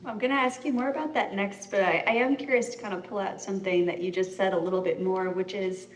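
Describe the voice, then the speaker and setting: A woman hosting a live community interview asks questions in a friendly, relaxed way and uses lots of fillers. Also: medium pitch, fast. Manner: friendly, relaxed, many fillers like you know. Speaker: interviewer, series host. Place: community center event room. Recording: echoey room, far from mic.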